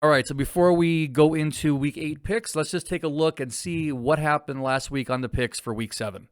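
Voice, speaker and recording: Smooth-voiced male podcast co-host. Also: fairly high pitch, normal speed. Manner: easygoing, joking. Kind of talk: casual sports betting chat.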